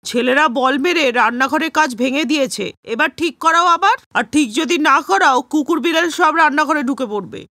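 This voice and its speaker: A woman's voice: high voice, lively intonation, moderate pace, hardly any pauses, bright, forceful tone, no echo, clean studio sound. A woman voicing an elderly grandmother and mother-in-law, fretful and chiding, in a cartoon story. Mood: scolding.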